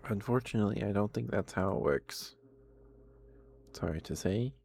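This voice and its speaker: A man streaming ASMR close to the microphone, chatting casually and sometimes whispering, in a halting, rambling way. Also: on the low side, creaky, a little mellow, a little hiss or hum. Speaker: ASMR livestreamer. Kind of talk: ASMR livestream, casual chat with whispered passages.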